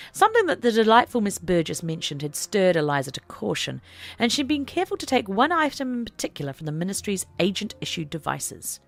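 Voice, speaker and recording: A woman reading scripted fiction as an audiobook narrator, in an expressive, deliberate storytelling manner. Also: mid-range voice, creaky.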